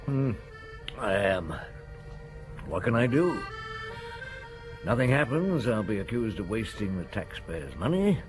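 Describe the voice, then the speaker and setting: An actor playing a weary, brusque police chief inspector in a radio drama, gruff and curt. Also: male voice, on the low side, big pitch swings, unhurried, pauses often, music in the background, poor audio. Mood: worried, weary. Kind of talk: radio drama acting and narration.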